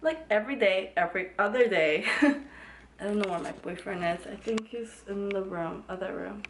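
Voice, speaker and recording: A woman's voice: mid-range voice, normal speed, slight background noise. A woman chatting casually to her camera at home, rambling with lots of "like" fillers. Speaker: vlogger. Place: at home indoors.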